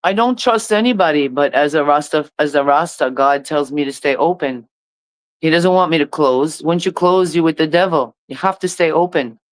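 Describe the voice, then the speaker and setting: Middle-aged woman artist with a smooth, resonant voice, halting and intense on a dry close mic. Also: low voice, no echo. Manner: halting, repeats herself, intense. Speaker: reggae artist, interview guest. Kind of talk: emotional personal testimony.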